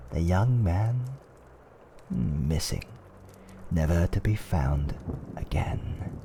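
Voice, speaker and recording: A man playing a fantasy tavern owner, telling dark fireside tales in a hushed, dramatic roleplay ASMR style that often drops toward a whisper. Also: low-pitched, gravelly, a little hiss or hum, heavily acted. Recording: faint background ambience.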